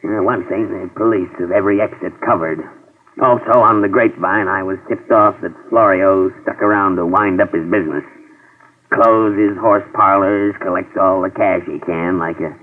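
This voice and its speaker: Casting: a sad-faced, pleading bondsman, low voice, thin old radio broadcast sound. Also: steady tone, moderate pace, narrow, tinny audio. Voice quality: whining, pleading. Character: mopey middle-aged male bail bondsman, anxious. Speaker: bail bondsman. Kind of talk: 1950s radio drama acting.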